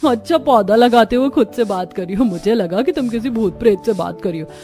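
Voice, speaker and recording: A woman's voice: on the high side, brisk, mellow, faint music behind, lightly acted. A woman voicing a nosy, gossipy neighbourhood aunty in a cartoon. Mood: curious.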